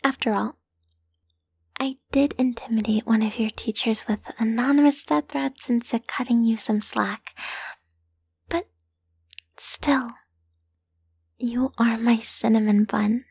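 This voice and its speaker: Woman voice-acting a obsessive yandere girlfriend, high breathy voice, slow lingering delivery, doting, possessive, intimate roleplay audio. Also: fairly even intonation, a little mellow, no echo, exaggerated voice. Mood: tender, sheepish. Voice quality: breathy, often dropping into whispers.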